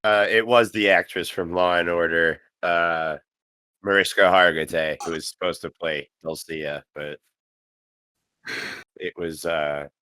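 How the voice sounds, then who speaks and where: A man's voice: low-pitched, steady tone, measured pace, pushed and bright, clean tone, dry recording. A man chatting casually as he comments on a film with fellow cast members.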